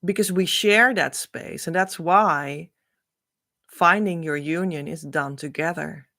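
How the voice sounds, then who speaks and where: Slow-speaking woman teacher. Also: low voice, pushed and bright. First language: Dutch. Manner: earnest, reassuring, unhurried. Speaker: spiritual teacher. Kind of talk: spiritual teaching talk.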